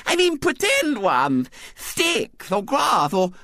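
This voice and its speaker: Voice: medium pitch, huge pitch swings, takes it slowly, sharp tone, no room sound, pitched above the performer's own voice, full character voice. A storyteller voicing an imperious, simpering little girl with a sweet, lisping, wheedling manner. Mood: bossy, happy. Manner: lisping, sugary, pleading.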